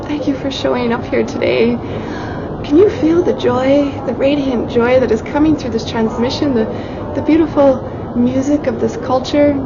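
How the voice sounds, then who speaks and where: A woman's voice: high voice, normal speed. A joyful spirit guide, as a woman channels it. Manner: gushing, mixes in simple Spanish phrases. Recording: dull lo-fi with loud background noise.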